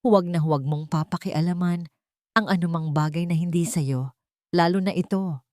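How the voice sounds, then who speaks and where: A woman's voice: lowish voice, normal speed, a little mellow, dry recording, close to an everyday voice. A woman voicing a two-faced senior housemaid in a story, an aunt figure who admonishes firmly. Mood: firm warning.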